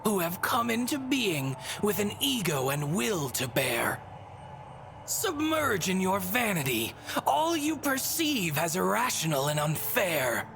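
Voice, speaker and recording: An actress playing a whimsical, bored god in a video game, speaking in theatrical, teasing rhymed riddles. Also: female voice, mid-range voice, big pitch swings, takes it slowly, gravelly, exaggerated voice. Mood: solemn. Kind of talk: video game cutscene voice acting. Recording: music under the voice.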